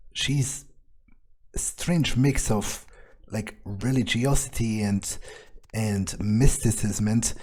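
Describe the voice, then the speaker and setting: A man's voice: fairly low pitch, warm tone, slightly rough, full character voice. A man playing a cynical, callous young vampire in an in-character game playthrough, musing aloud in a hesitant, dismissive way. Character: callous, cynical young male vampire, foul-mouthed loner.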